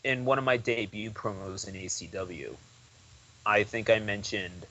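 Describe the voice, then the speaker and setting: A man's voice: on the low side, a little slow, bright, forceful tone, muffled recording. Rambling young man, casual chat. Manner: rambling, full of "like" and restarts. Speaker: podcast guest.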